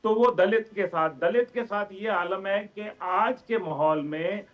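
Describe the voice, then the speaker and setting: A man's voice: high voice, a little slow, dull audio. A man with a Pakistani accent giving emphatic, lengthy explanations as a guest commentator on a political television talk show. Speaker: guest commentator, organisation chairman.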